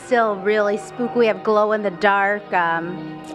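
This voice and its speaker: A woman's voice: medium pitch, unhurried. A woman reporting live on location for a morning show, chatty and playful as she interviews guests. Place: on location inside a community theater. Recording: background music behind voice.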